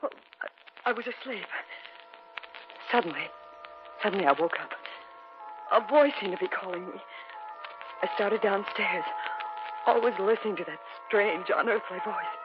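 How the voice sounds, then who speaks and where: An actress in an old radio drama playing a frightened young wife who stammers in fear. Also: female voice, mid-range voice, moderate pace, pauses often, natural voice. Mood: terrified. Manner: stammering, fearful. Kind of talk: 1940s radio acting. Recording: muffled old radio recording with music.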